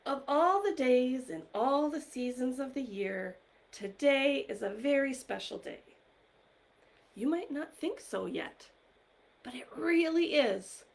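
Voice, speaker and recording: A woman hosting a live online story time for young children, speaking directly to them in an encouraging, gently questioning way. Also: high voice, lively intonation, slow pace, vocal fry. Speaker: library story time host.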